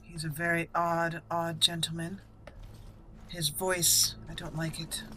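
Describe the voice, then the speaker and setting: A woman roleplaying a proud, blunt elf mage noble in a fantasy game, speaking formally and deliberately. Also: lowish voice, monotone, fairly bright tone, slight background noise, poor audio. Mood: curious, formal. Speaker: host of a gaming channel. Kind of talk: improvised in-character game roleplay narration.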